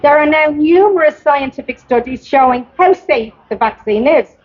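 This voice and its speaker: Irish woman, high-pitched voice, public address read from a script, deliberate emphatic delivery, dull lo-fi recording. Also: expressive. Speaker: campaigner giving a public address. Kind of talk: prepared speech read aloud at a protest. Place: outdoors at a public rally.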